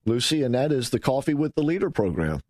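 A man's voice: mid-range voice, very animated, fast talker, a little mellow, dry recording, clean studio sound. A man hosting a radio talk show, welcoming a guest in an easygoing, affable way.

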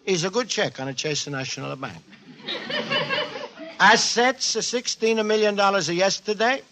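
A naive, cheerful Italian immigrant in a 1940s radio comedy. His voice sits high, with an Italian accent and earnest broken English. The old recording is noisy and clicky. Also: measured pace, pushed and bright, full character voice. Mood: earnest, proud. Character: cheerful, naive Italian immigrant man writing home to Mamma.

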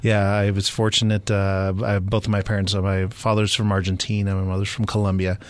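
Male guest, middle-aged, American accent, native Spanish speaker, lowish register, dry sound, casual halting delivery. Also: little pitch movement, no room sound. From Southern California, United States. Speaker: police sergeant, interview guest. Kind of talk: casual conversational interview.

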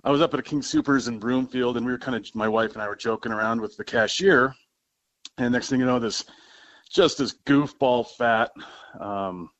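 A man's voice: medium pitch, moderate pace, a bit bright, no echo. A man with an American accent calling a radio show and talking casually, over a dull phone connection. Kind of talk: casual anecdote telling. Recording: dull, dry phone call sound.

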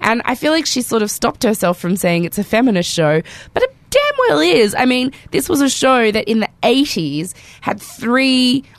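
A woman with an Australian accent hosting a casual podcast chat, her voice hoarse and croaky from a cold, chatty and enthusiastic. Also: a little high, lively intonation, moderate pace, dry recording. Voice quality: croaky, voice cracking. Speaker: podcast host. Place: podcast studio.

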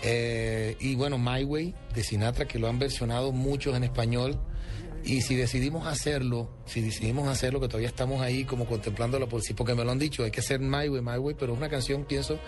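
Male singer guest from Colombia, talks expansively and eagerly, heard over clear background music. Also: medium pitch, steady tone, fast. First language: Spanish. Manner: expansive, enthusiastic, lists songs. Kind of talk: radio interview conversation.

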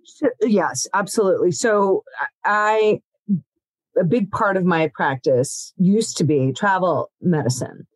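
A woman's voice: fairly low pitch, takes it slowly, no room sound, poor audio. Woman doctor explaining plainly, dry sound. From born in Ethiopia, raised in Africa. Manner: explanatory, steady, frequent "you know". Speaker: physician. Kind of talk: expert explaining in an interview.

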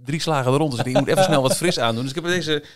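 A man's voice: on the high side, racing, no echo, clean studio sound. A Dutch man co-hosting a podcast, chatting casually with friends in a jokey way. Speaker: podcast co-host. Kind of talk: casual chat among friends.

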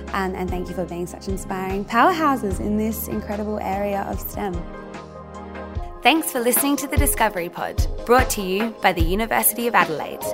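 A woman hosts a university podcast interview and guides the conversation. Her voice sits on the lower side. She speaks in a measured, encouraging way, with faint music playing behind her. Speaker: podcast host.

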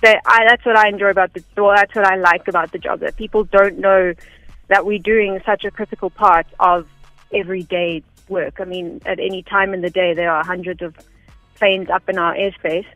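A woman's voice: medium pitch, steady tone, moderate pace, a bit bright, no room sound, rough recording. A South African woman explaining things step by step in a conversational, unpretentious way as an interview guest. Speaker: radio interview guest, air traffic information officer. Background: faint background music.

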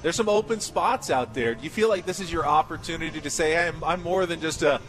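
A man's voice: a little high, fast, fairly bright tone. An American man hosting a live sports radio interview on location at a training camp.

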